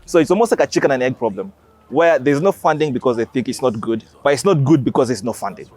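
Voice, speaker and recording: A man's voice: medium pitch, lively intonation, fast, darkish tone. A man giving emphatic, explanatory interview answers as an animation creator.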